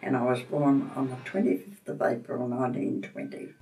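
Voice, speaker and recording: A woman's voice: extremely low pitch, normal speed, mellow, soft background music, poor audio. A woman, speaking plainly and matter-of-factly. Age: 90s. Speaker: interviewee. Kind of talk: reminiscing interview.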